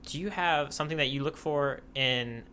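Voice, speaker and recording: A man's voice: on the high side, moderate pace, bright, forceful tone, slight background noise. A man hosting a casual podcast chat, thoughtful and hesitant, with many ums and restarts. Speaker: podcast host.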